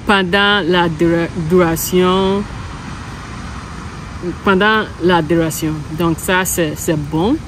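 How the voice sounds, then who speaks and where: A young woman from Ghana, an English speaker practicing French and speaking hesitantly, often correcting herself. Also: fairly low pitch. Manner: hesitant, halting, self-correcting. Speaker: French learner. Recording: steady background hum.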